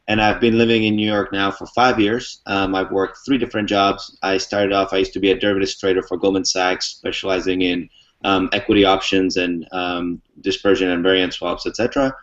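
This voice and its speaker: Young man, low voice, muffled audio. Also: flat delivery, brisk, fairly bright tone. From Czech Republic. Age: young adult. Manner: fluent, explains step by step with many ums. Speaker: interview guest. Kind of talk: casual explanatory talk. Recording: muffled lo-fi recording.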